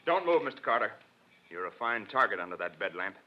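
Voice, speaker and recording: A muffled vintage radio recording of a menacing killer from a 1940s crime drama. His voice sits fairly low, and he taunts and brags with a sneer. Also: big pitch swings, fairly quick. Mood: gloating menace. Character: menacing, gloating male killer with a gun.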